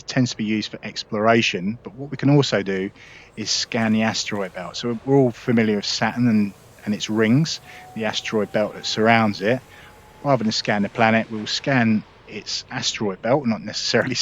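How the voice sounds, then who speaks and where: Low-voiced man explaining gameplay. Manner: relaxed, explanatory, talks viewers through steps. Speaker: gaming video host. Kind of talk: casual gameplay tutorial.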